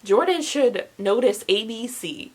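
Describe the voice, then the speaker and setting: A woman's voice: on the high side, expressive, unhurried, darkish tone, breathy edge. A young woman chatting casually and emphatically to camera, reviewing books in an informal way. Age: young adult. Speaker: book reviewer vlogger.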